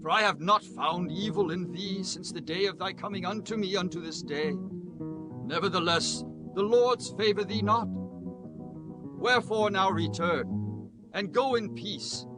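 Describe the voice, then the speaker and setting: A man's voice: high-pitched, unhurried, over music. A man acting a courteous, regretful king in a dramatized scripture audiobook, formal and earnest. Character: regretful, courteous king speaking kindly to his follower.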